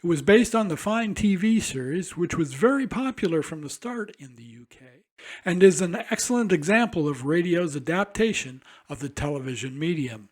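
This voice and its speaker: Higher-pitched male radio presenter. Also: expressive, moderate pace. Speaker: presenter introducing an old radio show. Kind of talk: scripted radio introduction.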